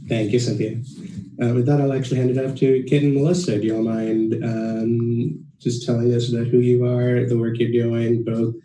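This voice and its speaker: Man, panel moderator, very dark mellow voice, polite brief handoffs, roomy echo, away from mic. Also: mid-range voice, flat delivery, normal speed, recorded from a distance.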